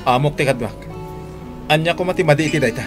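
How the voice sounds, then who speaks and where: A man's voice: on the high side, fast, lightly acted. Touchy, jealous man over music acted. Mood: jealous, confrontational. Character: jealous, possessive boyfriend, touchy and defensive. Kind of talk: Ilocano radio drama acting.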